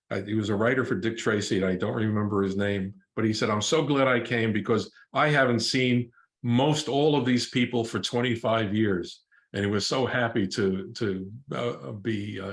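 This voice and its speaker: A man's voice: on the low side, echoey room. An older man reminiscing casually on a video call, often repeating words as he gathers his thoughts. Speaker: longtime convention attendee.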